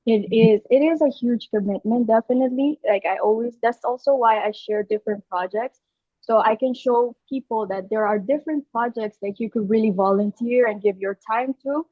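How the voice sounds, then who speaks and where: A young woman with a Filipino accent and a fairly high voice talks earnestly as a podcast guest. She lays out her points step by step and sometimes restarts a phrase. The sound is dry and dull, like lo-fi call audio. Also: moderate pace, no echo. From Aruba. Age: young adult. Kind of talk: conversational interview.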